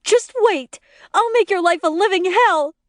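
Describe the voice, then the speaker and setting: Casting: female narrator as hysterical jealous young wife, very high and shrill, melodramatic, accusing, audiobook drama. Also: wildly swooping pitch, normal speed, fairly bright tone, no room sound, clean studio sound. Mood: bitter, threatening. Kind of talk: acted audiobook dialogue.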